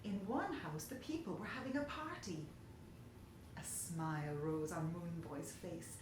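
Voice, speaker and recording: Slow, expressive woman storyteller in echoey room. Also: fairly low pitch. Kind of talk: expressive children's story narration. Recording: very echoey room, faint hiss.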